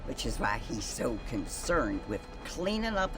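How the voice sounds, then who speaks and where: A woman's voice: very deep voice, big pitch swings, measured pace, music in the background, heavily acted. A woman playing a genteel older society matron in a western game, refined, gossipy and smugly proper. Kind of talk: period western game cutscene acting.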